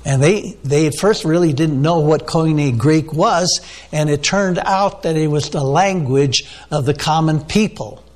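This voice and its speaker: A man preaching a sermon, teaching off the cuff with many "uh"s and questions to the listeners. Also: fairly high pitch. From United States. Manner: unscripted teaching with frequent fillers, asks the listeners questions. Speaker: pastor.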